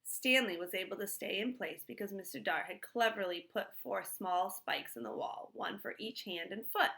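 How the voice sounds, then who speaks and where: Bright-voiced woman reading a children's story. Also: mid-range voice, vocal fry. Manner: pauses to put questions to young listeners. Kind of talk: expressive read-aloud narration.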